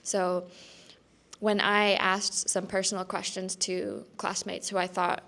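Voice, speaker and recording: A woman's voice: medium pitch, little pitch movement, slow, fairly bright tone. A young woman speaking thoughtfully in a casual discussion on a student panel. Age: young adult.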